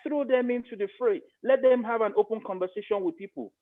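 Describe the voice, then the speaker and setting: A man's voice: sits high, quick pace, phone-quality sound. A man with a Nigerian accent giving his political opinions emphatically, repeating phrases for stress.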